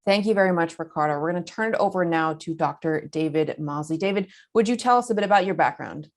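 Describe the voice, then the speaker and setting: A woman with a very low-pitched voice hosts an interview. She moderates politely, with prepared questions and orderly handovers to guests. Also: fast. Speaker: interviewer and host.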